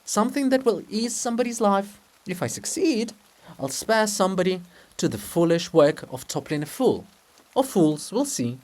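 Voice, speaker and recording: Man playing a lively, needling statesman monument. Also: high voice, moderate pace. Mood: jaunty. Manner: teasing, theatrical, swings from blunt to grandly consoling. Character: brisk, needling, jaunty male monument of a statesman.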